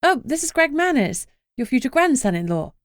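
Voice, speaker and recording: A woman's voice: sits high, big pitch swings, fairly quick, dry recording, studio quality, pitched above the performer's own voice. A woman reading a brash, irreverent young heroine's lines in an emphatic way.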